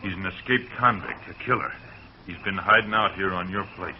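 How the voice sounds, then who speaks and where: An actor playing a scheming young farmhand in a 1940s radio drama, urgent and conspiratorial. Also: male voice, deep voice, brisk, a little hiss or hum, natural voice. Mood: conspiring. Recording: muffled old radio recording.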